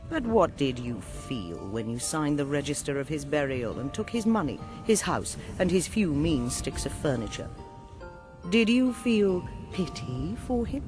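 Deep, stern accusing spirit. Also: female voice, very animated. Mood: grave, reproachful. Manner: deliberate, theatrical, reproachful. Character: stern accusing spirit confronting an old sinner. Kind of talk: radio drama acting. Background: dramatic music.

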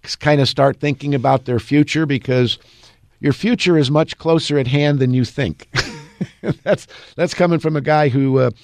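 A man's voice: fairly high pitch, fairly even intonation, normal speed, vocal fry, darkish tone, no room sound. A man in his sixties, an attorney hosting a call-in radio advice show, upbeat and folksy with easy jokes. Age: 60s.

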